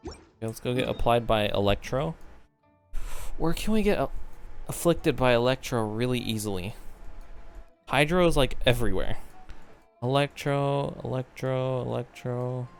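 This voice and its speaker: A man's voice: medium pitch, a little slow, creaky, pauses often, faint music behind. A man giving casual, sweary game commentary, often muttering to himself and exclaiming. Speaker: game streamer.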